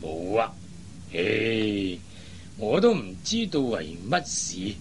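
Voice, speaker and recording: A man's voice: fairly low pitch, big pitch swings, slow. A man playing a worried, hesitant elderly father in a radio drama, often sighing. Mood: puzzled, troubled. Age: older. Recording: faint background noise.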